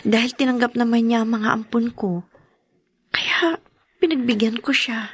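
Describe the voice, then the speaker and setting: A high, closely recorded woman's voice with a Filipino accent playing a long-suffering wife in radio drama. She pleads with raw feeling, wounded yet forgiving. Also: steady tone, unhurried, slightly rough, no room sound. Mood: subdued, resigned. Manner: emotional, tearful, pleading.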